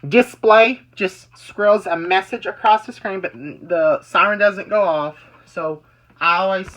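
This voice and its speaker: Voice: mid-range voice, unhurried, fairly bright tone, poor audio. A person giving a casual, rambling show-and-tell demonstration, often repeating and restarting phrases. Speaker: amateur video maker demonstrating weather radios.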